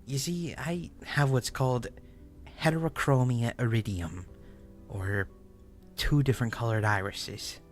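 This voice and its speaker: A man's voice: mid-range voice, natural voice. A man voicing an obsessive, unhinged confessor over music. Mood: obsessive, confiding. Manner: deliberate, halting, with stammered repeats. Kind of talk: dramatic first-person horror story reading.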